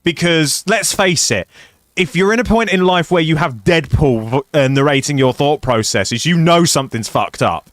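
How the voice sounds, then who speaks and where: A man with a low voice hosting a casual co-op gameplay session, chatty and playful, swearing now and then. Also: expressive, moderate pace, a bit bright, no echo, studio quality. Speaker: gaming channel host.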